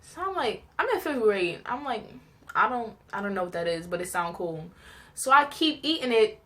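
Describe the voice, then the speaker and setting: A young woman tells personal stories in a casual, chatty vlog style, animated and giggly as she acts out little reactions. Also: medium pitch, moderate pace. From New York, United States. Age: young adult. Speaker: vlogger telling personal stories.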